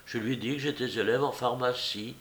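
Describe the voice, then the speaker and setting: A man's voice: mid-range voice, steady tone, brisk, fairly bright tone, close to an everyday voice. A man voicing a naive, shy, lovesick young man with a hesitant, stammering, deferential manner in an audiobook. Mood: shy, lovestruck. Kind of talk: audiobook character voice.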